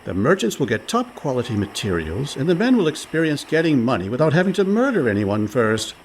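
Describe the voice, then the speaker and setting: A man's voice: mid-range voice, sing-song, fairly quick, slight background noise. An actor playing a cheerful, scheming and overconfident con man in a comic radio play, breezy and persuasive.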